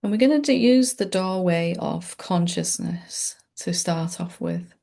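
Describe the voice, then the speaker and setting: A woman meditation teacher leading a gentle, unscripted guided meditation in a soothing, informal and reassuring way. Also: lowish voice, measured pace, gravelly.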